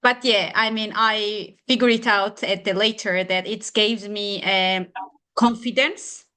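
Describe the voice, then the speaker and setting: A woman whose first language is not English, hosting an online mentorship session and encouraging applicants in halting grammar. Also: medium pitch, fairly even intonation, bright, forceful tone. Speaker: session moderator.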